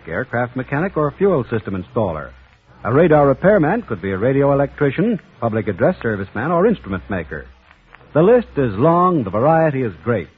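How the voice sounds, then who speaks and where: Through a thin, narrow-band old radio recording comes an American man reading scripted announcements. His slightly rough voice is formal and measured, with wide swings of intonation, in 1940s style. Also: medium pitch. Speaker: radio announcer and sponsor spokesman.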